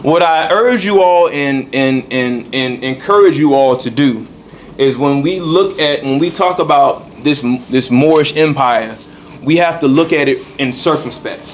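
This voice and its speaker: A man's voice: fairly high pitch, a little slow, a little hiss or hum. A man teaching a congregation in a temple hall, repeating key points and checking in with "all right". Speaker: religious teacher.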